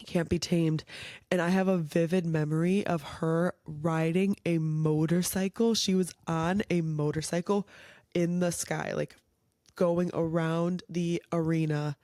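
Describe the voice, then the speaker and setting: A young woman chats on her own podcast in a loose, rambling way, full of "like" and side tangents. A lingering cold leaves her slightly nasal and raspy, recorded close and dry with no room sound. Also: fairly low pitch, steady tone, unhurried, mellow, no echo. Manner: chatty, rambling, lots of "like". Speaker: podcast host. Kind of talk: casual solo podcast chat.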